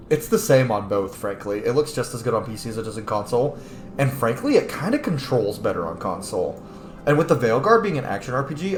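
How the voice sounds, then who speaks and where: A man's voice: medium pitch, reverberant, slight background noise. A man giving casual, chatty, rambling commentary as a video game streamer. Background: game music.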